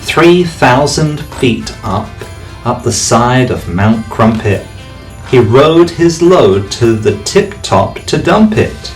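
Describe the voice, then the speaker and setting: A man, a teacher, reading a rhyming bedtime story aloud with expressive delivery. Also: mid-range voice, takes it slowly. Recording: distant mic, faint background music.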